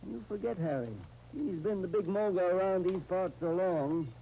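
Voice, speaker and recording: A shrewd professor and lawyer in 1930s radio drama style. His voice is very mellow and dark, with an emphatic, cautioning delivery. It comes through a muffled, hissy old disc recording. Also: sits high, normal speed. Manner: emphatic, cautioning, old-fashioned slangy talk. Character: shrewd, emphatic professor and legal counsel to a young man. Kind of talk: 1930s radio soap opera acting.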